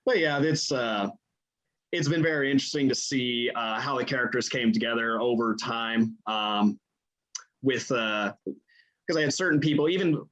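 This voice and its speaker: A man's voice: mid-range voice, normal speed, fairly bright tone, poor audio. Male co-writer, mid-range voice, agreeable and hesitant, informal panel conversation. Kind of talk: casual chat. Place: remote group call on a computer.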